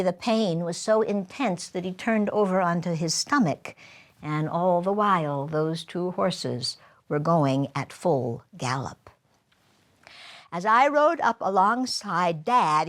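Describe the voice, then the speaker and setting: A woman storyteller telling a first-person tale in a deliberate, expressive way with dramatic pauses. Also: mid-range voice.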